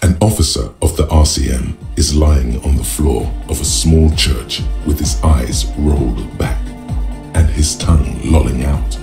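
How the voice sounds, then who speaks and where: A man voicing a grave, deliberate inner narrator in a video game, in dramatic scripted style. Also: rumbling low, vocal fry, heavily acted. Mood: calm, wry. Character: grave male narrator, inner voice of a detective's mind. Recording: music behind the voice.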